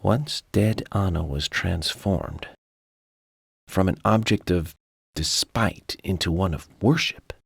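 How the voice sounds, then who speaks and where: An actor playing a self-assured, coolly analytical male federal investigator in a video game, measured and matter-of-fact as he lectures. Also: deep voice, creaky, breathy edge, no echo, studio quality, full character voice. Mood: explanatory. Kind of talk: video game cutscene acting.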